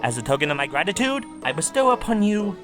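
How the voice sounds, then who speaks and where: A woman's voice: medium pitch, sing-song, fast talker, music in the background, lightly acted. A woman voicing a pompous, self-serious young male ninja in a theatrical, formal cartoon dub style. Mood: solemn, pompous. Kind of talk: animated parody dub acting.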